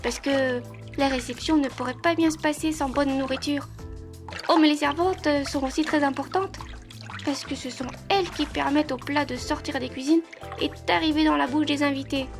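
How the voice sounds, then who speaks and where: High-voiced flustered young maid, acted by a woman. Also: fairly even intonation, normal speed, music in the background. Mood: earnest chatter. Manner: hesitant, apologetic, stammering, formal. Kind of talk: French roleplay audio drama.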